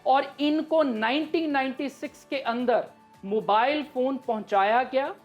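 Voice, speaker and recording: A woman's voice: sits high, unhurried, soft background music. A woman with a Pakistani accent explaining current affairs emphatically as a studio analyst. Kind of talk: live political commentary.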